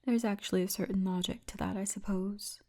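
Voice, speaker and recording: A woman voicing a friendly female orc tour guide in an intimate ASMR roleplay, speaking softly and often breathily. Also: medium pitch, steady tone, close to an everyday voice. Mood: amused, gossipy. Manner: soft, intimate, unhurried storytelling, often breathy. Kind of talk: ASMR roleplay, addressed to the listener.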